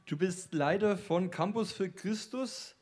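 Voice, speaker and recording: The man with the Franconian accent and higher voice you'd hear introducing guest speakers on stage at a summer camp. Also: unhurried. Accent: Franconian German. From Franconia, Germany. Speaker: event host interviewing speakers on stage. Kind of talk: light-hearted stage interview.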